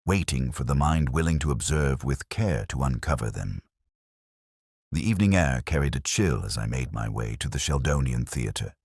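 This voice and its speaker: A man narrating a first-person detective story in a measured, literary, contemplative way. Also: extremely low pitch, dry recording, clean studio sound. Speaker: audiobook narrator.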